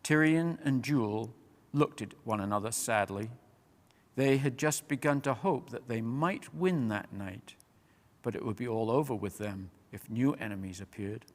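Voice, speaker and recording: A man reading a children's fantasy story aloud as a measured, expressive, dramatic narrator. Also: medium pitch. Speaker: storyteller, reader. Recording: long reverberant hall.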